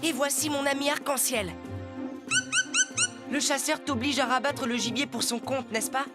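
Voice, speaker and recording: A woman voicing a brave, determined young boy in an animated series, with an earnest, youthful delivery. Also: sits high, moderate pace, close to an everyday voice. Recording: music behind the voice.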